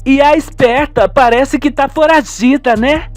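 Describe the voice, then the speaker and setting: Man putting on an astonished gossipy wife, high-pitched. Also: a little slow, no echo, faint music behind. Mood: curious. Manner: exclaiming, gossipy.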